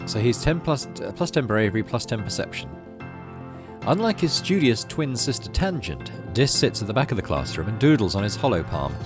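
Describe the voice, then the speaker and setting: Man, low pitch, animated intonation, let's play narration, casual musing asides, music behind. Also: fairly quick. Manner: expressive storybook-like reading broken by musing asides. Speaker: gaming video host. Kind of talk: let's play, reading story text aloud with casual commentary.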